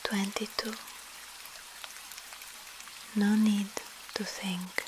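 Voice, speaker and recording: A woman with a Russian accent softly whispers a soothing ASMR sleep hypnosis, in short phrases with long pauses, guiding the listener as a breathy hypnotist. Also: mid-range voice, noisy background. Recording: steady rain sound behind voice. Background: rain.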